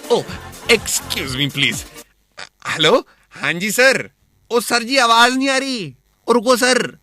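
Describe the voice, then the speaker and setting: A man's voice: very high-pitched, animated, extremely slow, sharp tone, soft background music, heavily acted. A man playing a wily, chatty investigator in a radio drama, chummy and wheedling, with stammering hesitations. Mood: flirtatious, wheedling.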